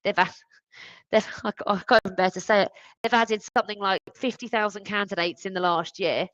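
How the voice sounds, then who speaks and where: A woman's voice: mid-range voice, steady tone, brisk, fairly bright tone, no echo. A woman talking emphatically and informally as an expert in a conversational business webinar. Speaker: industry expert panelist. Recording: dull, band-limited online call audio.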